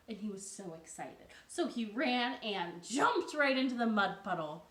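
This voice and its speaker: A woman reads a picture book to small children as a storytime host. Her delivery is unhurried and playful, stretching out key words and checking in with her listeners. The sound is roomy and a little distant, with faint noise. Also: fairly high pitch. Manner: expressive and playful, with drawn-out words and questions to listeners. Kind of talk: animated picture-book read-aloud for children. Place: living room at home.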